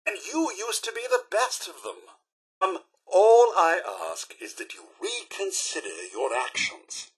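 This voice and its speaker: A British robot butler for a video game, loyal, formal and easily flustered. The voice sits high and leaps dramatically up and down. It has a husky rasp under its theatrical, anxious politeness. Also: male voice, wildly swooping pitch, unhurried, heavily acted. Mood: scolding, dismayed. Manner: formal, deferential, theatrically emotive and fretful. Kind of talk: video game character acting.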